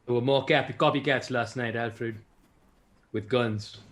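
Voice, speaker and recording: A man's voice: mid-range voice, steady tone, measured pace, fairly bright tone, lightly acted. A man playing a brooding, self-serious vigilante billionaire with a terse, grave delivery in a comic table read. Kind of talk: online comedy table read.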